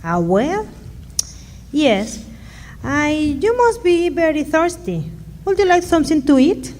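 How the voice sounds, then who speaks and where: Humble, generous Mexican mother, played by a woman: slightly high voice, Spanish accent, halting English, radio play. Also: very animated, darkish tone, a little hiss or hum, full character voice. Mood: concerned, hospitable. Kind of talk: radio drama acting.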